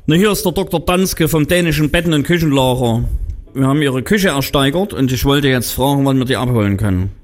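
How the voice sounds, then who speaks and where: A man's voice: medium pitch, expressive, fairly quick. A man on the radio making a prank call, rambling and feigning confusion while gushing over a kitchen he claims to have won. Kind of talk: prank phone call, posing as a buyer.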